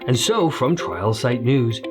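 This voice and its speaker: Man hosting a lively news show. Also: medium pitch, takes it slowly, music in the background. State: slightly crackly voice after the flu. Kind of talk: scripted news commentary.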